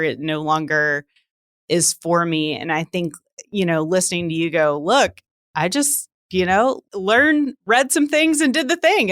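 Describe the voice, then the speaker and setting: A woman's voice: fairly low pitch, fairly bright tone, dry recording, studio quality. A woman in her late thirties chatting casually on a podcast, telling stories by acting out her own thoughts. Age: late 30s. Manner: chatty, self-quoting storytelling.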